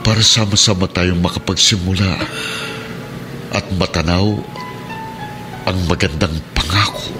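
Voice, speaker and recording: A man acting a middle-aged father in a Tagalog radio drama, earnest and gently pleading. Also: low-pitched, fairly even intonation, measured pace, long pauses. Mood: gentle pleading. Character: remorseful, earnest father, police officer near retirement. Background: dramatic music.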